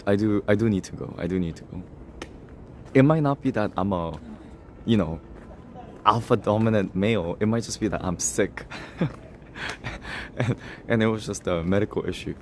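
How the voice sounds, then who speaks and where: A man's voice: on the low side, darkish tone, a little hiss or hum. Laughing, self-mocking young man on stream. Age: 20s. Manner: laughs often, self-mocking, talks straight to chat. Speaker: livestreamer. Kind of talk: casual livestream chat reading comments.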